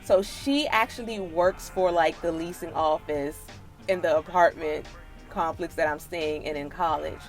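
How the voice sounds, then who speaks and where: Animated American woman telling a story. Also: low-pitched, a little slow, faint music behind. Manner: animated, sassy, slangy. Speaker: storytime vlogger. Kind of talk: casual personal storytelling.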